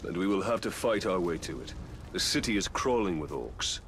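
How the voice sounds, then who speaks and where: A man's voice: sits low, expressive, normal speed, a bit bright, slight background noise. A man playing a wary, practical ranger in a video game, serious and probing in his delivery. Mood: resolute. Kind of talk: dramatic video game acting. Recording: faint music behind the voice.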